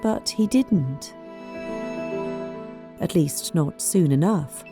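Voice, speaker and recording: A woman narrating a scripted folk tale in an expressive, measured storyteller's manner. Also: sits low, loud music behind the voice.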